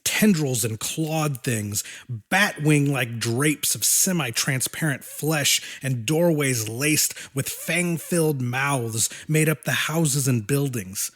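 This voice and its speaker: A man narrating a scripted horror story as an audiobook reader, in a deliberate, dramatic manner. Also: medium pitch, a bit bright.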